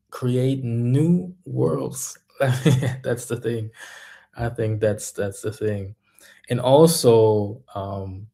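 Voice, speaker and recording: A man talks slowly and unhurriedly in a low, mellow voice. He speaks as a coach giving a reflective monologue and often pauses to find the right word. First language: German. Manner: thoughtful, searching for words. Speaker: mindset coach and music artist.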